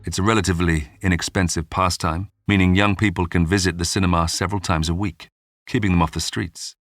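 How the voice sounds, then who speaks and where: A man hosting a history programme, reading scripted documentary narration in a measured, polished storytelling way. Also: low voice, fairly even intonation, dry recording, clean studio sound, soft background music. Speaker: podcast narrator and host.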